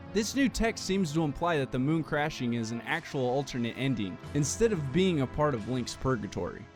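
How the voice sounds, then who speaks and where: A man narrating a scripted, analytical video game theory explainer. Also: a little high. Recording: music playing behind the voice. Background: background music.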